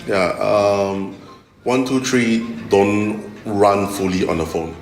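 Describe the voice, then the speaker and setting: A middle-aged man with a Malaysian accent giving halting, explanatory interview answers, often repeating words and ending phrases with "okay". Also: lowish voice. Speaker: interviewee, tech executive. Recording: echoey room, music underneath.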